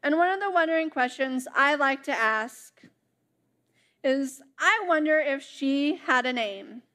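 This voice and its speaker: A young woman, a summer intern, reading scripture and preaching a sermon in a church, with a slow, careful delivery. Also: high-pitched, animated, sharp tone. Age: young adult. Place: church sanctuary.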